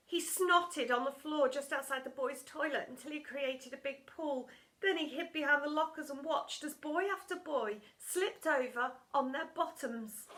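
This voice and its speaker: A woman reading a children's storybook aloud as expressive narration, with dramatic, emphatic storytelling. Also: sits high. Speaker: primary school story reader.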